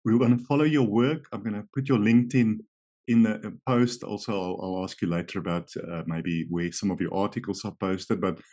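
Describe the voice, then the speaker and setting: A man's voice: fairly low pitch, fairly even intonation, a little fast, warm tone. A middle-aged man, a native Afrikaans speaker, hosting an interview in a chatty, friendly way. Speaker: interview host. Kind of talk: conversational interview.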